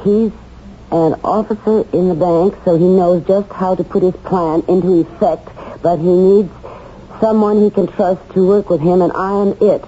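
An actress playing a nervous, excited woman reading an incriminating diary aloud in a 1970s radio drama. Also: lowish voice, normal speed, lightly acted. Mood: reading aloud intently. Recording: dull, noisy old radio recording.

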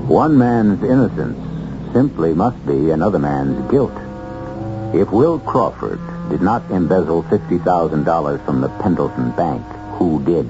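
A man's voice: sits low, expressive, a little slow. A man narrating a 1970s radio mystery in a theatrical, suspenseful manner, posing rhetorical questions. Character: ominous storytelling host of a radio mystery. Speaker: host and narrator. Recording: dull old radio recording, music behind. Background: dramatic music.

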